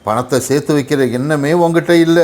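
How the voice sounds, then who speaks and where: A man's voice: on the high side, steady tone, a little fast, a little mellow, natural voice. A man voicing a hardworking, long-suffering father crow in a cartoon story, resigned and reproachful. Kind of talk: Tamil animated moral story acting.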